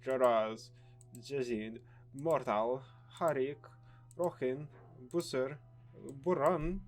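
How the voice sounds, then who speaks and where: A man's voice: medium pitch, fairly even intonation, slow pace, a little mellow, soft background music. A man hosting a casual game playthrough, reacting offhandedly in short remarks. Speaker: gaming video host. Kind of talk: casual first-impression game playthrough commentary.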